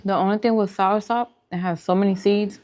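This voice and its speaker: A woman chatting casually and informally as she hosts a food video, answering viewers in an unhurried way. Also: mid-range voice, fairly even intonation, a little mellow. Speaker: host of a vegan food channel, eating on camera. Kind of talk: casual chat while eating, mukbang livestream.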